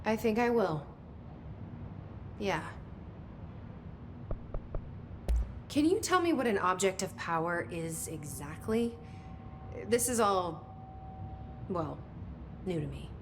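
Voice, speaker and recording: A woman's voice: lowish voice, big pitch swings, slow, long pauses, background noise, exaggerated voice. A woman playing a guarded, searching new agency director in a video game, speaking tersely and introspectively. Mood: guarded, curious.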